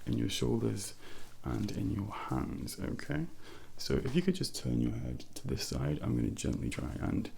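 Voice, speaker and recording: A soft-spoken man playing a doctor in an ASMR role play, murmuring gentle, reassuring instructions close to the mic and sometimes whispering. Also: fairly low pitch, big pitch swings, moderate pace, gravelly, hardly any pauses, a little hiss or hum. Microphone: close-up ASMR microphone.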